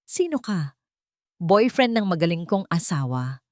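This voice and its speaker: A woman storyteller voices a menacing male cop in an audio story. He sneers and taunts his former wife, with lively swings of pitch and a dry, close sound. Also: unhurried, no room sound. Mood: mocking. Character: menacing, mocking policeman ex-husband.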